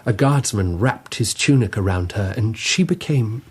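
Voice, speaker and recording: An actor playing a dreamy, curious emperor in a radio drama, speaking in a measured, stately, wondering way. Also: male voice, fairly low pitch, animated, darkish tone, breathy edge. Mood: intent, fascinated.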